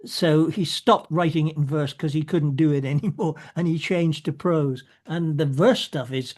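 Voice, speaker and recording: A man's voice: on the high side, normal speed, darkish tone. A man in a casual group discussion who translates plays and talks in a chatty, rambling way with many restarts. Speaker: play translator and company organizer.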